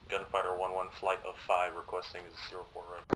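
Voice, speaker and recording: A man's voice: low-pitched, completely flat delivery, a little fast. A man playing a helicopter pilot in a military game, making terse requests in radio phraseology through a radio filter. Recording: noisy, distant, crackly game radio link.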